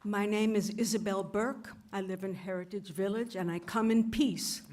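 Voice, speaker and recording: A woman resident giving a gentle, sincere public comment at a town meeting. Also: mid-range voice, fairly even intonation, moderate pace, a little hiss or hum.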